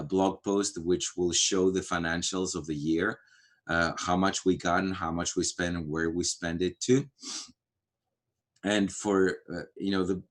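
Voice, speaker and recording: A man from Greece, a Greek native speaker, talking casually on a video-call livestream, pausing often with fillers and restarts. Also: deep voice, a bit bright. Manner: halting, many fillers and restarts. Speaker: framework core team member.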